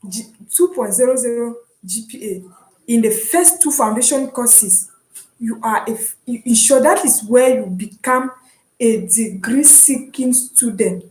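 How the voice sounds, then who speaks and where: A young woman from Cameroon with a Cameroonian English accent, chatting casually and encouragingly about her online studies, often pausing with "um". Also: a little high, echoey room, rough recording. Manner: chatty and encouraging, with frequent "um" and restarts. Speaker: vlogger and online student. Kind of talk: casual explanatory vlog.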